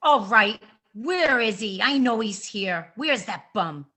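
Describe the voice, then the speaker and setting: Domineering scolding wife played by a woman, high sharp voice, slow emphatic delivery, vintage radio drama. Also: expressive, exaggerated voice. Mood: furious. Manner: scolding, suspicious. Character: furious domineering wife hunting her drunken husband. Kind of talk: 1950s old-time radio acting.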